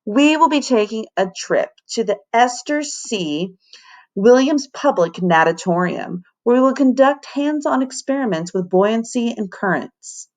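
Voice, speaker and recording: A woman's voice: on the high side, expressive, a little slow, darkish tone, voice pushed up. A woman voicing an enthusiastic, proud male science teacher in a storybook, formally announcing things with eagerness. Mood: eager.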